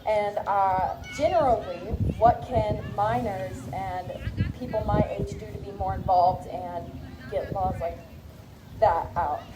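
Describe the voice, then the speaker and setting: A woman's voice: medium pitch, slow. A teenage girl, about fourteen, asking a question as an audience member at a public meeting. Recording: noisy live public event sound.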